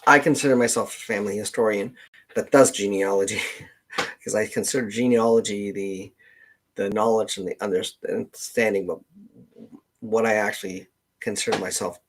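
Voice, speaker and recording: A man with a Canadian accent hosting a casual livestream chat, meandering and thinking aloud in a halting way. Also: lowish voice. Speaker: livestream host, genealogist.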